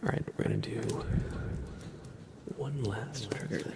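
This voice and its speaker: A man whispering softly and casually as he hosts an ASMR livestream, in a hushed, unhurried manner. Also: mid-range voice, vocal fry, heavy background noise.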